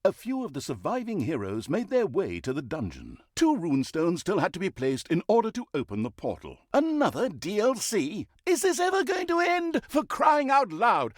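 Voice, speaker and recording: A man's voice: high-pitched, no room sound, studio quality. A man playing a pompous, dryly mocking storyteller who narrates an evil overlord's deeds in a video game, with theatrical emphasis. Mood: storytelling, wry, then exasperated.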